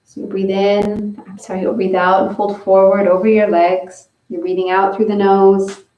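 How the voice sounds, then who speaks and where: Female yoga-style instructor, soft guided cues, recorded in a roomy space a bit off-mic. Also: medium pitch, flat delivery, far from the mic, lo-fi sound. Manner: slow, gentle step-by-step instructions. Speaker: breathing and movement instructor. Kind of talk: guided breathing and stretching session.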